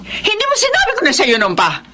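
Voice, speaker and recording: A woman's voice: very high-pitched, wildly swooping pitch, brisk, bright, forceful tone, slight background noise, full character voice. An actress playing a stubborn, bossy middle-aged mother and fortune-teller in a Filipino radio drama, speaking emphatically. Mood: angry, insistent. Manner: emphatic, scolding, insistent.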